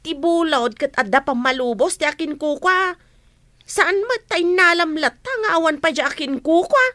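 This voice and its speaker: A woman's voice: high voice, lively intonation, moderate pace, pushed and bright, no room sound, studio quality. A woman with an Ilocano accent playing an outspoken, principled housemaid in a radio comedy drama, plain-spoken and reproving. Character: outspoken, principled housemaid answering back to madam. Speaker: voice actor.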